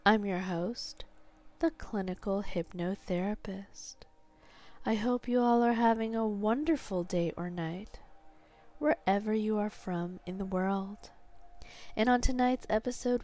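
A woman hosting a guided sleep hypnosis session, speaking softly and soothingly, often in a near whisper. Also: medium pitch. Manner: soft, slow, soothing, partly whispered. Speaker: hypnotherapist host.

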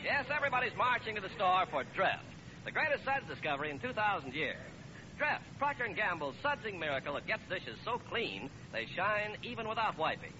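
Vintage radio announcer, male, high-pitched scripted pitchman delivery with lively swings, muffled old recording. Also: brisk, music in the background.

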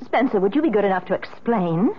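A woman's voice: medium pitch, expressive, fast, natural voice. An actress playing a concerned, exacting woman questioning her man earnestly in a 1970s radio drama. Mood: reproachful, hurt. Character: concerned, exacting wife or sweetheart of a businessman. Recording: dull old radio recording.

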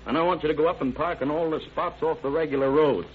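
An actor playing a stern, methodical sheriff in an old radio crime drama, speaking in a measured, formal, authoritative way. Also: male voice, a little high, fairly even intonation, natural voice. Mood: commanding. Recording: tinny, noisy old radio recording.

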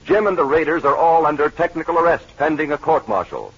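Husky, animated male radio announcer. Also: fairly high pitch, fast. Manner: dramatic, emphatic announcing. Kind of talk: 1940s radio scripted narration and sponsor read. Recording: muffled, husky-sounding old radio recording.

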